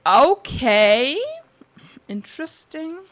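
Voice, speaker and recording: A woman doing casual livestream game commentary, reading on-screen text aloud in a halting, puzzled way, muttering guesses as she goes. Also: sits high, animated, a bit bright. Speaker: game streamer.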